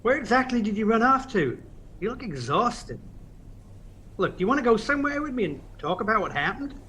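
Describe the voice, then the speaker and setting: A man playing a kind, concerned, slightly awkward friend in an audio play, gentle and hesitant. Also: high-pitched, big pitch swings, brisk, exaggerated voice. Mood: concerned, tender. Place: recorded at home during lockdown. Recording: muffled, noisy home recording.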